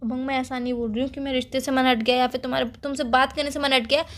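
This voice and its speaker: A woman's voice: high voice, level tone, racing, fairly bright tone. A young woman playing a busy, impatient girlfriend in an everyday phone-call drama, defensive and insistent. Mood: indignant, defensive. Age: young adult.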